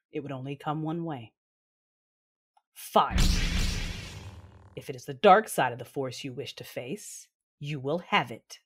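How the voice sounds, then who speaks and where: A woman's voice: low-pitched, husky, full character voice. A woman playing an intense, battle-hardened Sith mother who narrates her own story theatrically in an audio drama. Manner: brooding, theatrical, emphatic outbursts. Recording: music bed with rain sounds behind.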